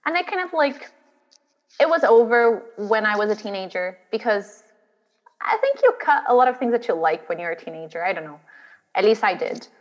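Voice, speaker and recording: A woman's voice: mid-range voice, animated, moderate pace, in a large hall, dull audio. A woman from Brazil, a drag artist and guest, telling her story in a chatty interview, laughing now and then. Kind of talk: interview chat.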